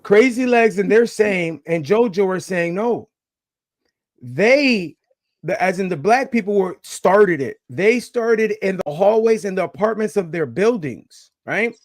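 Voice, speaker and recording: High-voiced, emphatic man in his forties. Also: lively intonation, measured pace. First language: Spanish. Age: 40s. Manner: emphatic, checks in with "right?". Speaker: call-in guest on a live panel show. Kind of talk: casual talk, storytelling.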